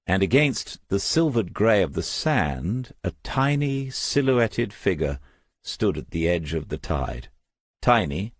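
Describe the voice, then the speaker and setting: A man's voice: deep voice, breathy edge, dry recording, studio quality. A man narrating a crime novel as an audiobook, reading scripted prose.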